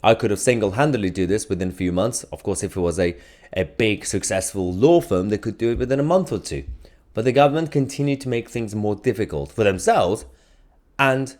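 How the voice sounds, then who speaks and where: A man's voice: on the low side, expressive, fairly quick, a little mellow. A man hosting an online news channel, delivering opinionated commentary in emphatic bursts. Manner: emphatic, rising and falling in bursts.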